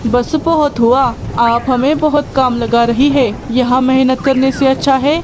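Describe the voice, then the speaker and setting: A grumbling crow husband from a children's cartoon, played by a woman, speaks in a high-pitched voice. He is sarcastic and quick to complain. Clear noise runs behind the voice. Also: fairly even intonation. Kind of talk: Hindi children's cartoon dialogue.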